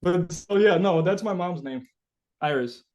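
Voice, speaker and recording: A man's voice: high voice, animated, a little slow, darkish tone, muffled recording. A man being interviewed as a musician, talking casually and reflectively, thinking aloud in a rambling, philosophical way.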